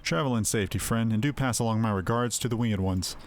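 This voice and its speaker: A man's voice: on the low side, fast, close to an everyday voice. A man voicing a hearty, chatty retired adventurer and innkeeper in a fantasy game, with folksy old-fashioned phrasing. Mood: jovial, friendly.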